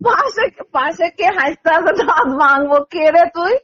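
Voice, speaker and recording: A woman's voice: very high-pitched, lively intonation, moderate pace, fairly bright tone. A middle-aged woman answering a phone call in a curt, suspicious manner, on a muffled recording. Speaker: prank call recipient. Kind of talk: casual phone conversation.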